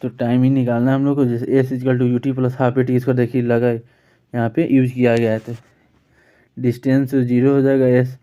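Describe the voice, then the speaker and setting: An Indian man teaches in an informal exam-prep style, his pitch almost completely flat. His voice is clean and smooth, with an Indian accent. The recording sounds dull and lo-fi. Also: moderate pace. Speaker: physics teacher. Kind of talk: informal exam-prep lesson, solving questions.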